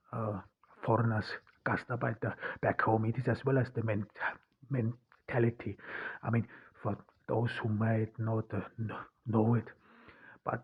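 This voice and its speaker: A young man from Austria with an Austrian German accent, hosting a solo podcast and speaking English haltingly, full of fillers and restarts. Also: fairly low pitch, husky. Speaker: podcast host. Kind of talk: solo reflective podcast monologue. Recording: dull lo-fi podcast recording.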